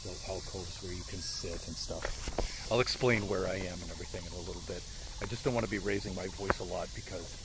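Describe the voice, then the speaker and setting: A man's voice: sits low, steady tone, brisk. A man with an American accent chatting casually and ramblingly to viewers on a livestream as he walks outdoors with a phone at arm's length. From United States. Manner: rambling, talks directly to viewers. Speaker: live streamer. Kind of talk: casual walking livestream chat. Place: outdoors, walking along streets to a park. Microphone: phone held at arm's length. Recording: noisy outdoor phone livestream, insect-like ambience.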